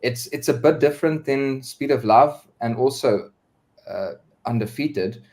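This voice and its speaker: A man's voice: medium pitch, a little slow, a little mellow. A man talking as a singer guest on a live-stream video call, earnest, with many fillers and repeated words. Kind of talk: casual live-stream chat.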